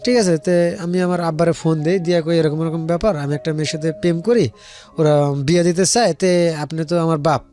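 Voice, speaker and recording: High-pitched Bangladeshi man telling his story. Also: fairly even intonation, brisk, warm tone, faint music behind. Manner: rambling, repeats phrases, quotes dialogue. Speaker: guest telling their life story. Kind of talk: personal storytelling in casual colloquial speech.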